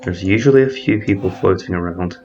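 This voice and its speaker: Man, fairly low voice, methodical game commentary, thinks aloud, fixes words mid-sentence, dull lo-fi voice-over, faint hiss. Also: brisk, a little mellow. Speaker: strategy game let's-player. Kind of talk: explanatory gameplay commentary.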